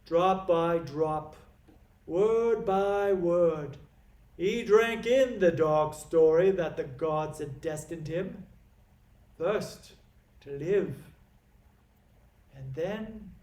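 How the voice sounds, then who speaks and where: A man playing a proud, scornful and stern king in a classical stage monologue, with theatrical, deliberate, emphatic delivery and dramatic pauses. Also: a little high, a little mellow. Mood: bitter, brooding.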